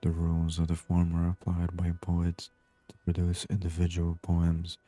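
A man doing soft-spoken ASMR game commentary, murmuring and unhurried as he reads aloud. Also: low voice, monotone, faint music behind. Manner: murmured, unhurried, reading on-screen text aloud. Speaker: gaming channel host.

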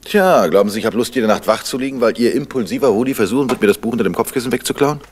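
An actor playing a determined, commanding detective in a vintage radio crime drama. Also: male voice, medium pitch, lively intonation, very fast, close to an everyday voice. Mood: controlled, reassuring. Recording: noisy vintage radio recording.

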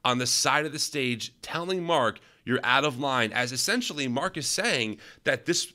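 A man in his thirties co-hosting a video-call podcast, talking through clips in an animated way with many restarts. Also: mid-range voice. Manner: animated, restarts a lot, explains and narrates clips. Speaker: podcast co-host. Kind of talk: casual commentary on clips. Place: small room at home. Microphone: studio mic on arm, video call.

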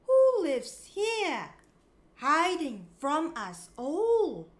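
A woman with a high voice reads a picture book aloud for small children. Her intonation is very lively and sing-song. She goes extremely slowly, pronouncing each word clearly for young learners. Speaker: children's storybook reader.

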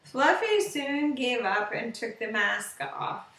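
A woman reads a simple bedtime story for young children in a rather high voice. She sounds a little away from the microphone, in an echoey living room, as captured on a webcam. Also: animated, slow pace, fairly bright tone, slight background noise. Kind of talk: children's bedtime story read aloud, slow simple narration. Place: living room with sofa and window, indoors. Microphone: webcam at about arm's length or more. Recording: roomy, distant webcam sound.

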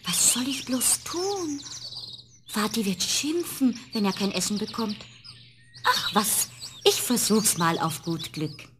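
An actress playing a polite, plucky young girl, a woodcutter's daughter, in a fairy-tale radio play, with a breathy voice. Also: fairly high pitch, expressive, takes it slowly, background noise. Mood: worried.

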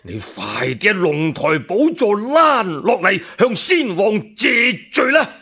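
Indignant old minister, acted by a man, radio drama, husky, slow, declamatory, stammering with outrage. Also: high-pitched, big pitch swings, sharp tone, pitched above the performer's own voice, exaggerated voice. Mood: thundering, outraged. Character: indignant old loyal minister, righteous and accusing. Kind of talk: one-man Cantonese radio storytelling drama.

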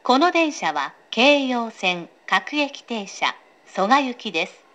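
Formal woman train announcer, high lilting voice. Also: very animated, pushed and bright. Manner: polite, formal, measured enunciation. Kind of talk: scripted recorded train announcement. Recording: recorded aboard a train, clear background noise.

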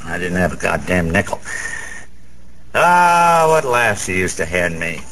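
An actor playing a boozy, sentimental, boastful small-time gambler in a radio drama, rambling in old-fashioned street slang with a gravelly voice. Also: male voice, low-pitched, big pitch swings, a little slow, a bit bright, exaggerated voice, rough recording. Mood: boastful, fond. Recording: faint background noise.

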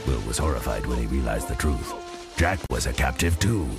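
A man's voice: a bass voice, animated, a little fast. A man narrating an adventure audio drama in a dramatic, suspenseful storybook style. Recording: loud music under the voice.

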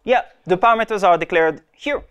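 A young man gives a technical talk in a conference room, his voice a touch higher than most. He speaks haltingly, often breaking off and restarting his sentences as he explains. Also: a bit bright, smooth. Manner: hesitant, many restarts and fillers.

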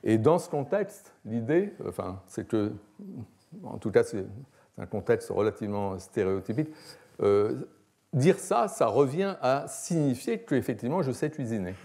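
A man, a philosophy professor giving an academic lecture in a measured, explanatory, hesitant manner. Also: mid-range voice, very animated, dark tone. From France. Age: 60s. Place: lecture hall, at a lectern.

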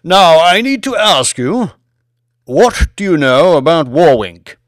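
An ancient, towering English lord for an audiobook. He is imperious and excitable, lifting his voice in theatrical swoops and stretching out his exclamations. The sound is close and dry. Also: fairly high pitch, no echo, voice pushed up. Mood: probing. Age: 169 years old. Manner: theatrical, drawn-out, exclamatory delivery. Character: imperious, excitable 169-year-old English lord, tall, grandiose. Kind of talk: audiobook character acting.

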